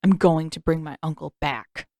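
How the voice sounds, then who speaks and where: A woman's voice: sits low, normal speed, dark tone, no room sound, clean studio sound. A woman voicing an angry teenage prince in an audiobook, terse and speaking through clenched teeth. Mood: curt, tense.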